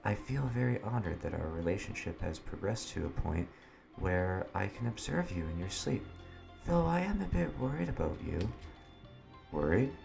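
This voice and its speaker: A man voicing an elegant, gentle young actress who speaks in a soft, polite, formal way to her beloved, with Japanese music playing behind. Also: sits low, sing-song, moderate pace, vocal fry, exaggerated voice. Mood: tender, concerned.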